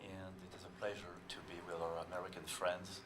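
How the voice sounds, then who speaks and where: A French teacher in his fifties speaking halting English with a French accent, searching for words, in a small TV studio. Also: deep voice, little pitch movement, recorded from a distance, noisy background. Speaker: teacher leading an exchange trip. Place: small TV studio with a seated group.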